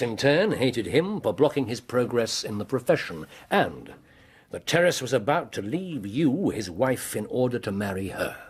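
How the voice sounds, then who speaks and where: An actor playing a brisk, commanding gentleman detective with an English accent in a radio drama, precise and probing. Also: medium pitch, husky. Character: incisive, commanding middle-aged gentleman detective. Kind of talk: British radio drama acting.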